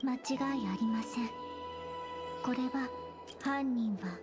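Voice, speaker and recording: A gentle young fantasy heroine from an anime game, acted by a woman, speaks in a high, soft, breathy voice. She is polite and measured, and music plays clearly behind her. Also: close to an everyday voice. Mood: calm, measured. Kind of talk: Japanese anime game voice acting.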